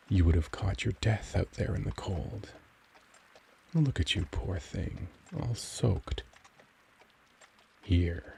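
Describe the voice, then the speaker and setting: Man playing a deep, velvety vampire gentleman. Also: gravelly, slight background noise, exaggerated voice. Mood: gentle concern. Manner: genteel, formal, soft-spoken, courtly phrasing. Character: young charming cultured male vampire gentleman, caring and gentle. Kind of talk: ASMR roleplay audio drama.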